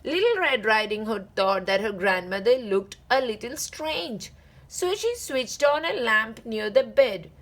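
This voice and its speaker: Female children's story narrator, very animated and higher-pitched, deliberate drawn-out phrasing. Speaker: read-aloud channel host.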